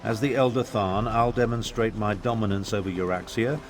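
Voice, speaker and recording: A man's voice: fairly low pitch, steady tone, moderate pace, over music, lightly acted. A man playing a pompous, disdainful elder statesman and battle mage in a fantasy video game. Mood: grave, disdainful. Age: older. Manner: pompous, self-assured.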